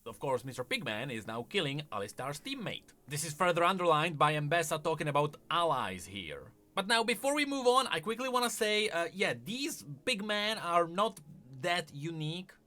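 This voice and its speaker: A man's voice: a little high, big pitch swings, normal speed, sharp tone. A man giving conversational explainer commentary, with wry asides along the way. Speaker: video essayist.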